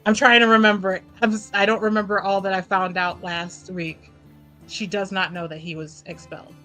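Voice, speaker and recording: A woman's voice: medium pitch, fairly even intonation, fairly quick, bright, forceful tone, faint music behind. A woman playing a tabletop roleplaying game, casually narrating what her character does.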